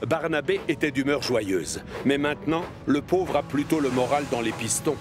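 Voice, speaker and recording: A man's voice: medium pitch, moderate pace. A man narrating a scripted children's cartoon story. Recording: music behind the voice.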